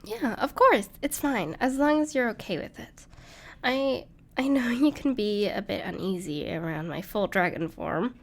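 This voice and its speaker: Gentle, caring dragon friend, higher-pitched woman acting. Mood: reassuring. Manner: soft-hearted, reassuring, hesitant with small laughs. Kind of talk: intimate audio roleplay addressed to the listener.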